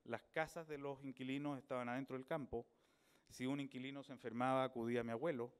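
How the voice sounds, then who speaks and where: A middle-aged man from Chile with a Chilean accent, an author giving a reflective public talk at a book fair, thoughtful and unhurried as he searches for his words. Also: mid-range voice, steady tone, clean tone.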